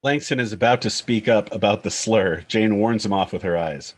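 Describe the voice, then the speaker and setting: A man's voice: lowish voice, moderate pace, slightly rough. A man reading stage directions as the narrator of a screenplay table read.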